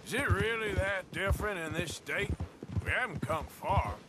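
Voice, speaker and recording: An actor playing a seasoned, cautious, wry cowboy outlaw with a dry, folksy American drawl in a Western video game. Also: male voice, sits high, expressive, normal speed, very noisy. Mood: thoughtful. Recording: music under the dialogue, horse hooves. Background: loud music and horse hooves.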